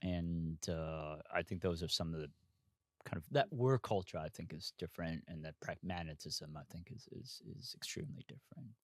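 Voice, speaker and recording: A man's voice: deep voice, animated, moderate pace, no room sound, studio quality. A man from Hong Kong, an investor guest talking candidly in an interview, frank and full of asides. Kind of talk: casual interview conversation.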